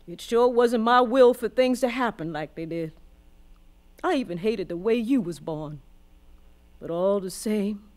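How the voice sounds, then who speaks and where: An old grandmother from the American South in a radio drama, speaking slowly and deliberately. She is weary but proud, once enslaved, and tells her past in folksy Southern dialect with deep feeling. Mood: sorrowful, resolute, then fervent. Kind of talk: radio drama storytelling monologue.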